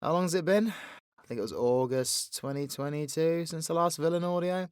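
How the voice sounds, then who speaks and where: A soft-spoken British man, an audio roleplay creator, talking candidly and casually to his listeners in an unhurried way. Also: on the high side, smooth, dry recording, clean studio sound. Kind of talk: casual, confessional talk to listeners.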